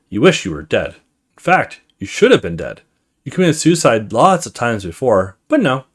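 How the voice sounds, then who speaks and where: A man hosting a gaming video, reading story text aloud expressively in a casual let's play style. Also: medium pitch.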